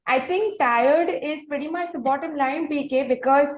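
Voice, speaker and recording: High-pitched Indian woman hosting, slightly muffled. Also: normal speed. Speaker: podcast host interviewing a musician. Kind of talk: conversational podcast interview.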